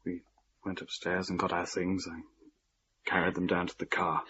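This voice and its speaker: An actor playing a shaken young husband who recounts events haltingly, as a monologue in a radio play. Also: male voice, sits low, monotone, sharp tone, muffled recording, a little hiss or hum. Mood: shaken, weary. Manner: halting, haunted storytelling.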